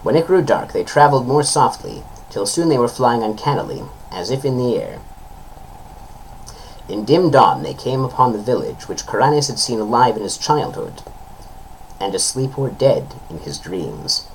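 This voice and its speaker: A man's voice: mid-range voice, sing-song, moderate pace, a little hiss or hum, low-quality recording. A man giving a solemn, formal dramatic reading of a literary tale, drawing out his phrase endings. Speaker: narrator, reader of a story.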